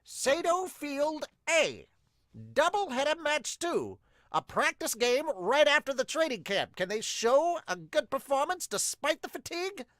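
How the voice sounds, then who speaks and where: A man voicing an excited tournament announcer for a manga dub, calling out names in a projected, drawn-out way. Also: extremely high pitch, no echo, clean studio sound, pitched above the performer's own voice, exaggerated voice. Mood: dramatic, excited.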